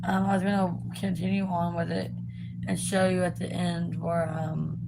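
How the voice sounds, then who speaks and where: Raspy young woman chatting while drawing. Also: lowish voice, little pitch movement, normal speed, very noisy. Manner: rambling, many ums and likes. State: raspy from recent illness. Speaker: art vlogger. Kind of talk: casual chat while drawing.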